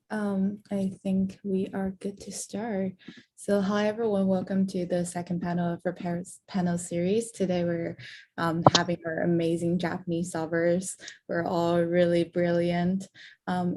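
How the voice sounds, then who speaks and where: A woman moderator opens an online panel in a soft voice. Her pitch is low and even, with little variation. Faint noise runs behind her. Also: measured pace. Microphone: video call.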